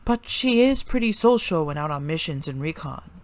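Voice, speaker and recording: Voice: mid-range voice, animated, normal speed, dry recording, pitched above the performer's own voice, lightly acted. A performer voicing a frank, chatty, friendly girl who explains things matter-of-factly in a story reading.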